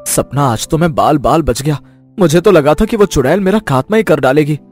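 A man voicing a devout, trusting village husband in an animated devotional story, speaking earnestly. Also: a little high, a little fast, soft background music, lightly acted. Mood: shaken. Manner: earnest, faithful, reassuring.

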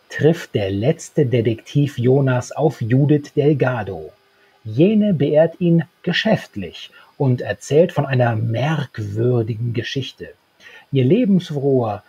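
A man in his thirties with a husky voice, talking as a podcast co-host. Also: medium pitch, dark tone, dull audio. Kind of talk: podcast talk, partly read summary. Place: small book-lined room at home. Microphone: handheld-style recorder microphone close up, earphones.

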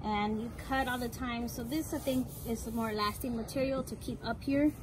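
A woman's voice: a little high, steady tone, normal speed. A young woman casually explaining a homemade build step by step, recorded outdoors on a handheld camera. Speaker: vlogger showing a home build. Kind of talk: casual show-and-tell. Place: outdoor driveway beside a house. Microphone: camera mic, handheld camera nearby. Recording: loud outdoor noise.

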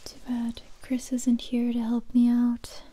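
A young woman performing an ASMR roleplay in a soft, breathy whisper close to the microphone, gentle, hesitant and unhurried. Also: on the high side, monotone, slight background noise. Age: young adult.

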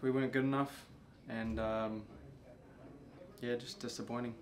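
A young man, a soccer player, answering questions at a post-match press conference in a subdued, halting way with many fillers. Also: mid-range voice, little pitch movement. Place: press room before a sponsor backdrop.

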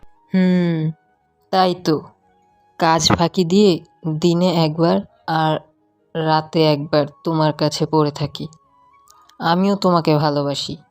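A woman voices a sweet-talking secret boyfriend in an audio drama. The voice is low and wheedling, full of affection, close and dry over faint music. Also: level tone, takes it slowly, pauses often, a little mellow, clean tone, no room sound. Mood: affectionate, wary. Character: sweet-talking, pleading secret male lover. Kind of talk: audio love-story drama acting.

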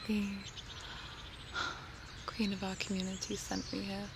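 A young woman acts out a serene cult devotee in a dark ASMR roleplay. She speaks very slowly and softly, often whispering, with little rise and fall. She is outdoors, close to the mic, with birdsong and clear nature noise around her. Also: mid-range voice, completely flat delivery. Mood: serene, reverent. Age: 20s. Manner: hushed, slow, soothing, often whispering. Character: serene young female cult member preparing a sacrifice. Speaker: ASMR performer. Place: outdoors among trees. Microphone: close binaural microphone.

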